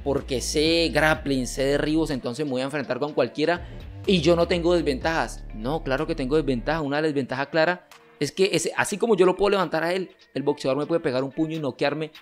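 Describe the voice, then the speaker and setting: Animated young man arguing into a mic. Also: on the high side, brisk, faint music behind. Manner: emphatic, gestures a lot while arguing. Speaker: wrestling channel host reacting to comments. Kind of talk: casual reaction commentary. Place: home streaming room with gaming chair. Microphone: studio mic on boom arm, headset.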